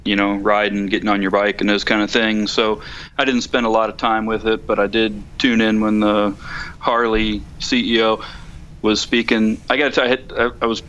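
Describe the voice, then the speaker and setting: A man's voice: fairly low pitch, level tone, moderate pace, a bit bright, muffled recording. Folksy man calling in, noisy line. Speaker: guest correspondent calling in to a motorcycle podcast. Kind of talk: casual interview chat.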